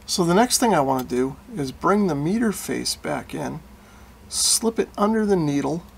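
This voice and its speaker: A man with a fairly high voice and plenty of vocal fry narrates a hands-on repair tutorial. His delivery is informal and folksy, with a self-deprecating streak. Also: lively intonation, moderate pace, a little hiss or hum. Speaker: radio repair hobbyist and video host.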